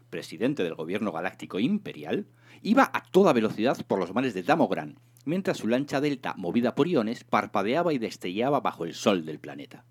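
A man with an accent from Spain, co-hosting and reading on a radio show in a colloquial, jokey way. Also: fairly low pitch. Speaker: radio show co-host and reader. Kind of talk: casual scripted-radio chat, then novel reading. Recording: music behind the voice. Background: music.

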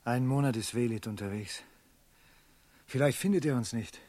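An actor playing a proud, weary merchant held captive for ransom in a German radio play, speaking tersely with wry restraint. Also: male voice, medium pitch, moderate pace, a little mellow, no echo, close to an everyday voice. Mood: probing, uneasy.

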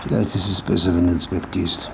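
An actor playing an aloof, irritable older writer, curt and brooding, in a German radio play. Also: male voice, sits low, little pitch movement, fairly quick, creaky, a little hiss or hum, poor audio. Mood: weary, guarded. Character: aging vain male writer, aloof, irritable, secretive.

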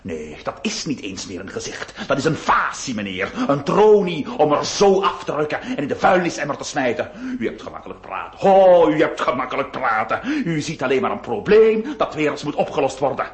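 A man acting in a radio play as an excitable, distraught nineteenth-century gentleman, stammering and melodramatic. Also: mid-range voice, brisk, few pauses, lightly acted. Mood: indignant. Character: distraught, excitable 19th-century gentleman who lost his nose. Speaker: radio drama actor. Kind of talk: theatrical Dutch-language radio play acting.

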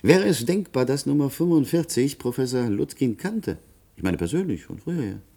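Radio drama: male prosecutor, dark mellow voice, animated intonation, courteous and probing. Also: mid-range voice, gravelly. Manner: deliberate, probing, formal. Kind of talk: German radio play acting.

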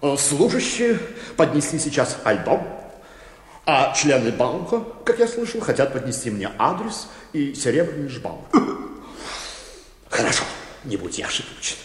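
Pompous vain bank boss, theatrical radio drama, voice swooping all over, big echoey hall. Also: male voice, mid-range voice, sing-song, measured pace, long pauses. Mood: boastful, pompous. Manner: pompous, grandiloquent, fussily familiar. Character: pompous, vain, self-pleased male bank chairman. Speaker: actor in a radio play. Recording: echoey hall with long reverb.

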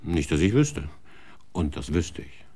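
A world-weary male TV executive in a radio play, with a low voice and a blunt, sardonic way of talking. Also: very animated, unhurried, warm tone, breathy edge, exaggerated voice. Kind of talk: radio drama acting.

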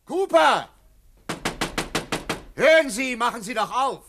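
An actor playing a polite, probing doctor who investigates a mystery in a radio play, speaking with courteous curiosity. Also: male voice, extremely high pitch, lively intonation, slow, background noise, exaggerated voice. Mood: calling out. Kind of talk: German radio play acting.

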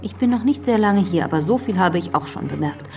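An actress playing a stern, possessive sister in a radio play, speaking with insistent reproach. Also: female voice, mid-range voice, animated, fairly quick, a little mellow, far from the mic, close to an everyday voice. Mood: reproachful. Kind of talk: radio play dialogue acting.

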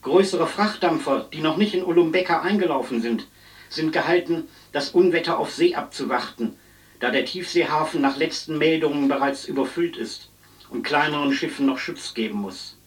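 An actor playing an earnest radio newsreader in a radio play, reading a formal, measured storm bulletin. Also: male voice, fairly high pitch, recorded from a distance, lightly acted, rough recording. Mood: formal, urgent.

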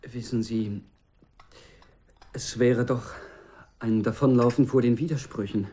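Soft, husky, hesitant young man played by an actor. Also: mid-range voice, fairly even intonation, natural voice. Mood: earnest, impassioned. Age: young adult. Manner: hesitant, courteous, halting. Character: earnest, hesitant young man, polite and troubled. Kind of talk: German radio drama acting.

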